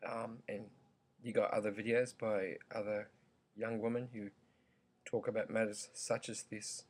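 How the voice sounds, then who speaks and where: A croaky-voiced man talks straight to his viewers at an unhurried, deliberate pace. He pauses often and fills the gaps with ums. His tone stays earnest throughout. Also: on the low side, flat delivery. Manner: slow, halting, earnest, with many ums. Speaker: vlogger. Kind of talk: opinion vlog.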